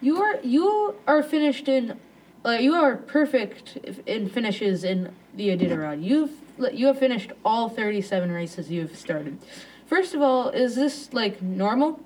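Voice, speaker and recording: A woman's voice: a little high, lively intonation, measured pace, a little mellow, rough recording. A young woman hosting a podcast, reading interview questions with small stumbles.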